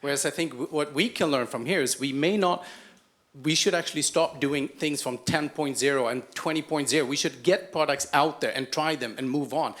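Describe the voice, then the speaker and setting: A man's voice: fairly high pitch, brisk, fairly bright tone. A man speaking on a panel in a conversational, persuasive way. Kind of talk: panel discussion.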